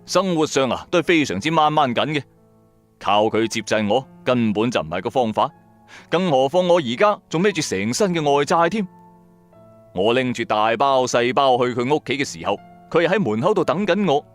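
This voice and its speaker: A man narrating a radio drama in the first person, colloquial, wry and expressive. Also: medium pitch, moderate pace, soft background music. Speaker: storyteller, narrator.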